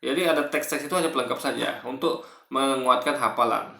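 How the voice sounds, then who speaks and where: A man giving casual spoken commentary in a halting, repetitive way, often correcting himself. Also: mid-range voice, sharp tone. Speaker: video commentator. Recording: echoey room.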